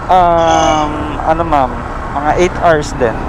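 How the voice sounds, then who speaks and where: A man's voice: fairly high pitch, fairly even intonation, takes it slowly. A Filipino man chatting casually, in a polite, helpful and informal way. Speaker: motorcycle vlogger. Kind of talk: casual chat with a passenger. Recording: very loud road and traffic noise.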